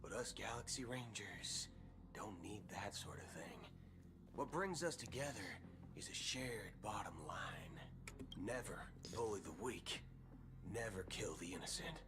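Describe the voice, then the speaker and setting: A man's voice: on the low side, animated, unhurried. A man playing a swaggering, folksy cowboy gunslinger from space in a video game, with a theatrical American Western drawl. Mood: earnest. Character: swaggering male cowboy galaxy ranger gunslinger, folksy and amiable. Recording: game music behind the voice.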